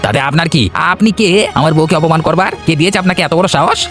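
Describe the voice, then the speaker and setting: A man's voice: a little high, rapid-fire, fairly bright tone, soft background music, natural voice. A man voicing a hot-headed, argumentative village husband in a cartoon. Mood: angry, confrontational. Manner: blustering, argumentative. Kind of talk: Bengali cartoon voice acting.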